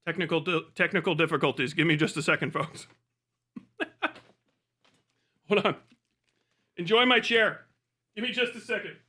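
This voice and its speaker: Bright, high-pitched man ranting on a livestream. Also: normal speed, long pauses. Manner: animated, mocking, swears freely. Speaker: live streamer. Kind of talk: casual livestream chat with profane ranting.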